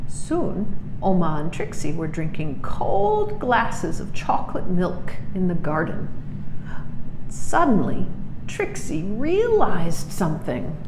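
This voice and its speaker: Woman doing storytime for little kids, unhurried n lowish voice, explains as she goes. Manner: expressive, explains and reacts for young children. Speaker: storybook reader. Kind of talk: read-aloud of a children's picture book with asides. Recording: roomy echo, clearly noisy background.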